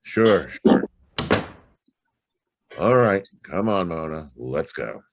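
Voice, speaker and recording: An actor playing an impatient, sardonic private detective in a brusque, slangy 1940s radio drama style. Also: male voice, low voice, expressive, slow, gravelly, noisy background, heavily acted. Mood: brusque, commanding.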